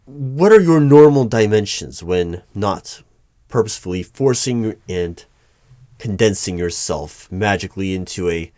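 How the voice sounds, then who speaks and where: Man acting as secret bureau hiring manager, deep voice, slow pace, formal, halting, easily flustered, ASMR roleplay. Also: expressive, dark tone, dry recording, clean studio sound. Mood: formal, measured. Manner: measured, formal, halting with stammers. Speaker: performer of a one-sided roleplay. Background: computer keyboard typing.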